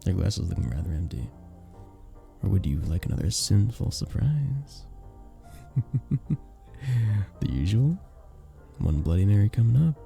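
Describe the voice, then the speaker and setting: A man voicing a sweet, earnest vampire bartender in a romantic ASMR roleplay, soft-spoken and flirtatious, with hesitant little chuckles. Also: low-pitched, expressive, moderate pace, creaky, exaggerated voice. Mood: flirtatious, charming. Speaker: audio roleplay performer. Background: soft background music.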